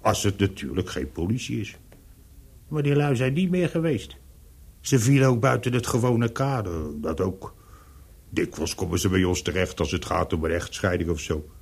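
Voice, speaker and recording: An actor playing a discreet, worldly-wise Paris hotel servant in a radio play, with a gravelly, husky voice and a deferential, dry, hesitant manner. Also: male voice, fairly low pitch, lively intonation, moderate pace, a little mellow, exaggerated voice. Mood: knowing, cagey.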